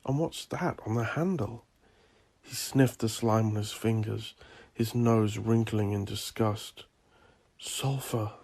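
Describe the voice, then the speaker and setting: A man reading dark horror fiction as a narrator, in a brooding, ominous and theatrical manner. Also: fairly low pitch, gravelly. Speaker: narrator of a horror audio drama. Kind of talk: dramatic gothic scripted narration.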